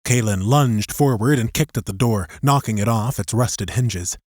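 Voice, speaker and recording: A man's voice: mid-range voice, moderate pace, darkish tone, dry recording, clean studio sound. A man reading scripted fiction as an audiobook narrator, in a dramatic storytelling style.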